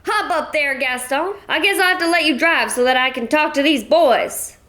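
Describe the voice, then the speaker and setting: An actress playing a brash, folksy American widow from the West with a Western American accent, talking loud and blunt. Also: female voice, high voice, moderate pace, noisy background, close to an everyday voice. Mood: hearty. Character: brash American widow, bossy ranch-style lady. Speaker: Mrs. Gibson. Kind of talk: old-time radio drama acting.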